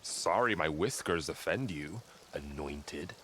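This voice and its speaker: A man's voice: rumbling low, expressive, slow pace, bright, forceful tone, a little hiss or hum, heavily acted. A man voicing a loyal, easygoing warrior companion in a video game, talking in brief, reassuring, wryly humorous lines. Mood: wry, teasing.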